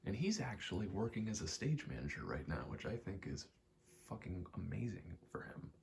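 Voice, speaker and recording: Very low-voiced man rambling softly in ASMR. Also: sing-song, vocal fry, slight background noise. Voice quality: soft, low, often slipping into whisper. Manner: halting, self-deprecating, casually sweary. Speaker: ASMR creator. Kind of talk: rambling ASMR, casual personal chat while gaming.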